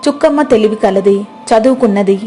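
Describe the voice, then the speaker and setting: A woman's voice: mid-range voice, brisk, warm tone, soft background music. Woman narrating a village storybook tale. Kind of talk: storybook narration and character voices.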